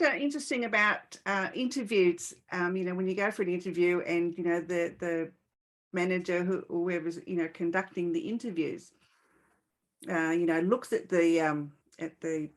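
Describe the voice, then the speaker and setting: Female podcast host, a little low in pitch, casual and halting conversational delivery. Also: a bit bright. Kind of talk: casual interview chat.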